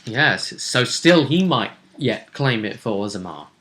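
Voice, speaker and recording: A man hosting a casual recap of a tabletop role-play tournament, telling it like a story with deliberate phrasing. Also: medium pitch, expressive, bright, forceful tone. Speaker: host.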